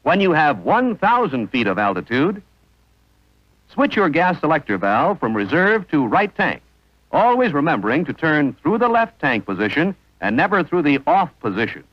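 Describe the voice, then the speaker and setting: A man's voice: a little high, sing-song, normal speed, no room sound. Dull vintage film recording, male instructional narrator. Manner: formal, precise instructional delivery.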